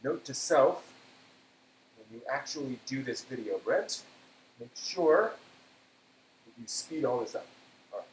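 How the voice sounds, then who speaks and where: A man hosting a casual cooking live stream, thinking aloud in a halting way and often trailing off. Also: mid-range voice, lively intonation. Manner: halting, self-talking, trailing off. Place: indoor kitchen. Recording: echoey room, background noise.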